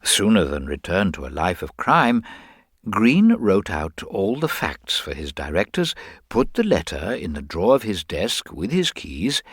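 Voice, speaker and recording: A man's voice: low-pitched, a bit bright, slightly rough, no room sound, clean studio sound, exaggerated voice. A man playing a mild, apologetic government investigator in a detective radio drama, hesitant, formal and precise.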